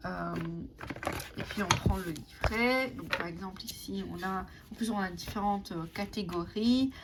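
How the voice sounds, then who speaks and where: A woman's voice: medium pitch, moderate pace. Hesitant woman, native Italian speaker. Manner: hesitant, many fillers and restarts. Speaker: tarot and oracle deck reviewer. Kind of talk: casual show-and-tell vlog. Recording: clear background noise.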